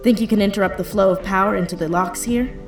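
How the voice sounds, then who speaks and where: A woman playing a brisk, sardonic hacker leading a heist in an audio drama, blunt and casual in delivery. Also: mid-range voice, lightly acted. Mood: brisk, businesslike. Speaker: voice actor in a fiction podcast. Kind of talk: naturalistic audio drama acting. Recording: roomy echo, faint music underneath.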